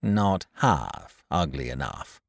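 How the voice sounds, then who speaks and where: A man voicing a harsh, sarcastic male toy merchant in a storybook reading, loud and sharp. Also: very deep voice, very animated, slow, creaky, dry recording, studio quality, voice pushed down, full character voice. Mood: sneering.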